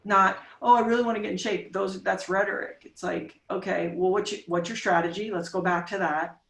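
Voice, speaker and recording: A woman in her forties speaks as a podcast guest in an emphatic, coaching way, firing off rhetorical questions and answering them herself. Her voice sits mid-pitch. It comes across roomy and a little distant from the microphone. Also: steady tone, fairly quick, vocal fry. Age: 40s. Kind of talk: casual interview talk. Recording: roomy sound, voice off-mic.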